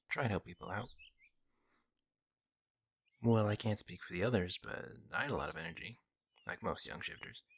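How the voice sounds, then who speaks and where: A man playing a friendly young werewolf, a lone wolf who talks casually and teases gently in an ASMR audio roleplay. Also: fairly low pitch, creaky. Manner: casual, hesitant musing, gently teasing. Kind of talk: ASMR audio roleplay, intimate conversational acting.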